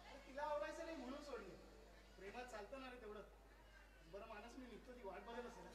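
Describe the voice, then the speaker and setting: A man's voice: extremely high pitch, fairly quick, a crowd in the background. A young male actor playing a breezy, devoted friend in casual stage play dialogue. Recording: very echoey distant noisy stage recording.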